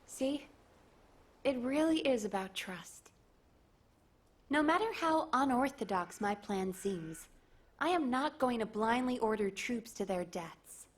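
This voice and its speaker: Higher-voiced, determined woman strategist. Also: expressive, slow pace. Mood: assertive. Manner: assertive, crisp military briefing. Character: confident, determined woman military operations strategist. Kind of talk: video game voice acting.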